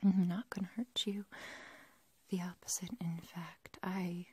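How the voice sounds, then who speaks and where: A woman's voice: lowish voice, little pitch movement, a little mellow, a little hiss or hum, close to an everyday voice. A woman playing an obsessive, lovestruck yandere girl kidnapper in a whispered, intimate, possessive ASMR roleplay. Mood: adoring. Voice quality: breathy, whispery. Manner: slow, intimate, halting, possessive.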